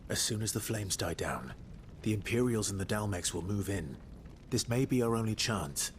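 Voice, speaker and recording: An actor playing a grim, determined warrior who speaks tersely in a game cutscene. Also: male voice, animated, moderate pace, a little hiss or hum, natural voice. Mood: resolute. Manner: terse, low-key. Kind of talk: video game cutscene acting.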